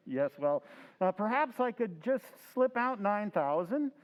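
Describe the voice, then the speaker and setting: A man's voice: high voice, sing-song, slow pace, big-room sound, full character voice. A man playing a nervous, stammering husband. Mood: hesitant. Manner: hesitant, full of uh's and stammers. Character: nervous, scheming middle-aged husband. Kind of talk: old-time radio drama recreation. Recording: dull, lo-fi sound.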